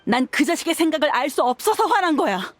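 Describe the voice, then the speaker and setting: A woman's voice: high voice, very animated, a little fast. A woman voicing a tough young mercenary in a video game, blunt and firm, proud with a bitter edge. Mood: bitter, angry. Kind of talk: dubbed video game acting.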